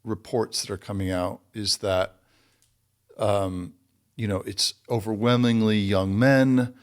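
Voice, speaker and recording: Low-voiced guy hosting a podcast, hesitant, lots of ums while he asks stuff. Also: fairly even intonation, slow, smooth. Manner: hesitant, searching for words with ums. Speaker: podcast host. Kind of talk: interview questions.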